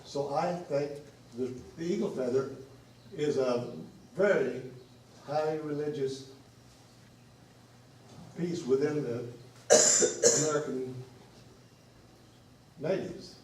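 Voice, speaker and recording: Earnest man in a booming hall. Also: a little mellow, a little hiss or hum. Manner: earnest, deliberate, repeats himself. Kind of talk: public meeting remarks. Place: large meeting room. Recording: echoey meeting hall from far off.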